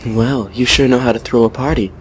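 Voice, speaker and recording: A man's voice: mid-range voice, steady tone, fairly quick, slight background noise, lightly acted, rough recording. A man playing a cocky, teasing old vampire with a sardonic, breezy manner. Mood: amused.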